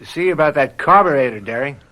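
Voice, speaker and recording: Bright-voiced, brisk game warden. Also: male voice, on the high side, lively intonation. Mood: businesslike, impatient. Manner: matter-of-fact, plain-spoken. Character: brisk, businesslike country game warden, a man in a hurry. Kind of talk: old-time radio drama acting.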